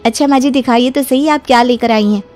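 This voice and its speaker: Casting: actress as young daughter-in-law in a cartoon moral story, very high voice, eager, questioning, respectful, music underneath. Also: female voice, quick pace, darkish tone, natural voice. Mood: excited, curious. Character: bright, polite, inquisitive young daughter-in-law. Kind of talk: acted Hindi moral story dialogue.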